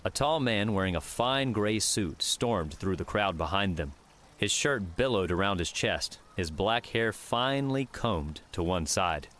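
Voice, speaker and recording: A man's voice: lowish voice, expressive, measured pace, a bit bright. A man reading scripted fiction as an audiobook narrator.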